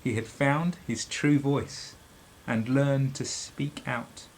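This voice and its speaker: A middle-aged man reading a children's story aloud, dramatically and with deliberate pauses. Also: mid-range voice, fairly even intonation, a little hiss or hum, low-quality recording. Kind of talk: expressive children's storybook narration.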